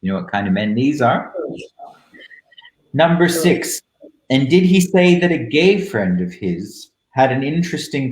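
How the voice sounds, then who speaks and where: A male live-show host with a camp, theatrical delivery and deliberate phrasing. His mid-pitched voice comes through with a clearly roomy echo, as he chats casually or reads aloud with drama. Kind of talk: casual live chat with dramatic book readings. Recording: roomy, echoing live-stream sound.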